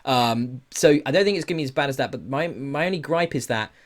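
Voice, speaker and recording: A man's voice: mid-range voice, expressive, brisk. Blunt man hosting a podcast. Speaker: podcast host. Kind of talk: casual gaming podcast chat.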